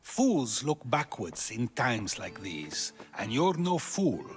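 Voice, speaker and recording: A calm older philosopher from a video game, measured and searching in his questions. His voice rises and falls with lively inflection. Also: medium pitch, soft background music.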